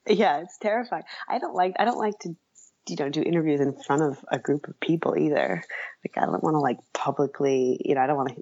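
Low, creaky-voiced woman in casual conversation. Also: expressive, brisk, darkish tone, muffled recording. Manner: hesitant, self-effacing, frequent hedging. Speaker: writer, interview guest.

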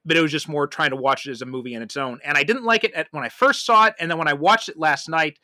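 A man with a very bright, forward voice on the higher side chats casually about film. The sound is dry, and he talks loosely, circling back to reword his points. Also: fast, no room sound. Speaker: podcast speaker discussing a film.

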